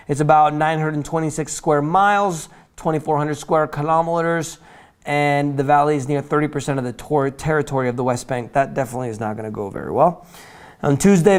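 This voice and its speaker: A man's voice: a little high, smooth. A man hosting a financial news roundup, reading items from notes in a chatty, informal way, with casual asides and stumbles that he corrects as he goes.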